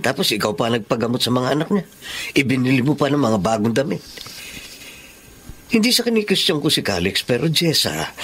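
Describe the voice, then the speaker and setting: A man's voice: a little high, a little hiss or hum. An actor playing a jealous, worried male best friend in a Tagalog radio drama, with a brooding, emotive delivery.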